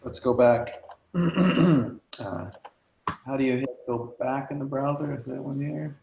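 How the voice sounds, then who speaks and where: A man's voice: mid-range voice, slow, creaky, darkish tone, slight background noise. A man hosting an online meeting, talking casually with many fillers while sharing his screen and losing his voice. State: losing his voice, clearing throat. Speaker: meeting host. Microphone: video call. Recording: echoey lo-fi video call.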